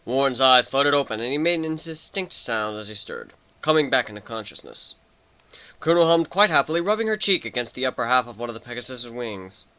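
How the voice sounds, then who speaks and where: A man's voice: medium pitch, sing-song, brisk, sharp tone. A man narrating a story as a scripted dramatic reading.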